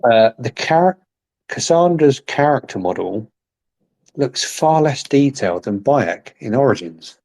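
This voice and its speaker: A man's voice: medium pitch, sing-song, unhurried, darkish tone. A man hosting a casual interview, playful and teasing his guest. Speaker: interviewer host.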